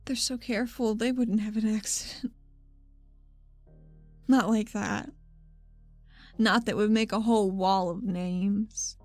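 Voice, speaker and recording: A woman improvising in-character dialogue as a player in a tabletop role-playing show, halting and confiding. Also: medium pitch, steady tone, a little mellow, dry recording. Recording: music bed behind the voice.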